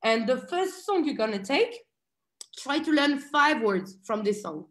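A woman in her thirties, a guest on a video call interview, chatty and animated, telling stories from her bright room at home. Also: high voice, unhurried, reverberant. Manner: chatty and animated, laughs often, gestures with hands. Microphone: video call webcam.

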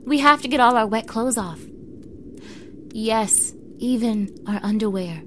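Snippy, high-voiced young mean girl played by a woman. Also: a little slow, slight background noise, close to an everyday voice. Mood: exasperated, flustered. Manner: exasperated, bossy, sarcastic. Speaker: audio roleplay performer. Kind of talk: acted audio roleplay drama, addressed to the listener.

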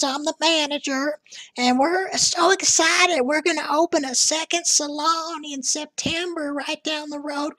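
A woman putting on a brash squeaky older woman. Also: rough recording. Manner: loud, bawdy, giggly, talks over others. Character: brash crude 57-year-old woman, chain smoker, bar singer. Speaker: scam baiter. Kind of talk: prank voice chat with a scammer.

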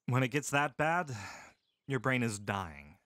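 A man voicing a calm, caring male medic soldier who speaks steadily, seriously and with compassion. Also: mid-range voice, a little slow, dry recording, studio quality. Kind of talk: full-cast audiobook acting.

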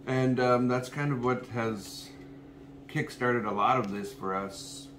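A man, telling his background in a halting way with many ums. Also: mid-range voice, fairly even intonation, a bit bright. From Minnesota, USA. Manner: halting, lots of ums and restarts. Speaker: co-host, massage therapist. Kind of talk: casual personal story to camera. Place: home kitchen, indoors, small room. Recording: echoey room with background noise.

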